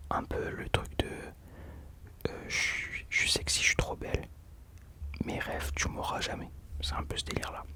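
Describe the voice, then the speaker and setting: Whispering young man, ASMR. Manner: hesitant, searching for words. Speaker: ASMR video host. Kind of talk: ASMR, whispered casual commentary.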